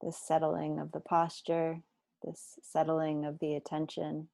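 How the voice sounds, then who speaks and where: A woman guiding a body scan meditation, speaking slowly and deliberately with gentle instructions and long pauses. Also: sits low, completely flat delivery, vocal fry, dry recording. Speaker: meditation teacher.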